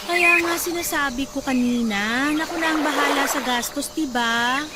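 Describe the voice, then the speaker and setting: A woman's voice: sits high, moderate pace, heavy background noise, close to an everyday voice. A woman playing an emotional, insistent pregnant girlfriend, hurt and pleading, in a Filipino radio drama. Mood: tense, confronting. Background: loud dramatic music.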